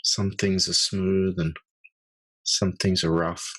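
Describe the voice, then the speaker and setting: A man guides listeners through a mindfulness meditation. His voice sits fairly low with a breathy, husky grain, and he speaks slowly and softly, leaving long pauses between phrases. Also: flat delivery. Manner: slow, soft-spoken, with long pauses.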